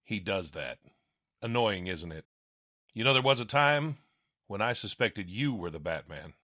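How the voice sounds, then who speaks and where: A man playing a principled police captain who speaks calmly and firmly. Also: on the low side, unhurried, no echo, clean studio sound. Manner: calm, firm.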